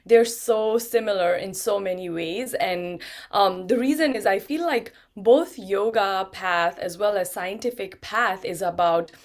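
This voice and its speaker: A woman's voice: mid-range voice, a little slow. A middle-aged woman from Nepal, a guest on a video call interview, talking in a thoughtful, casual way with frequent ums and restarts. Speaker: guest, neuroscientist and yoga teacher.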